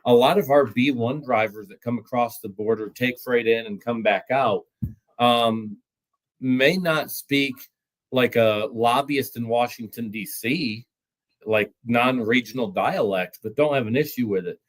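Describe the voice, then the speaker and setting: A man's voice: medium pitch, unhurried. A man appearing as a guest on a talk show, explaining things in a matter-of-fact way with occasional stammered restarts. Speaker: trucking recruiting executive, guest. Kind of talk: podcast discussion.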